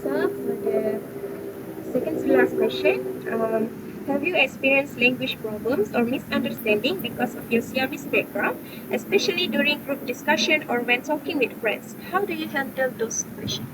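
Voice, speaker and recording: Young female student interviewer, measured question-reader, slightly high voice, noisy recording. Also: animated. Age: young adult. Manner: measured, reads prepared questions with short pauses. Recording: clear background noise.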